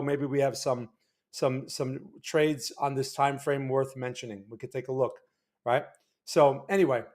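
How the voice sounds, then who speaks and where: Man, livestream host, casual, rambling restarts, fairly high voice. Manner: chatty, reads out chat names, loose restarts. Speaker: market analysis livestream host. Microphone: desk microphone.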